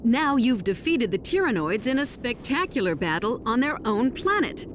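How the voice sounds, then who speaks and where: An eager reporter in a video game, high-pitched and polished. She delivers her questions with lively, presentational swings over clear background noise. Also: normal speed. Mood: eager, admiring. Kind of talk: video game news interview acting.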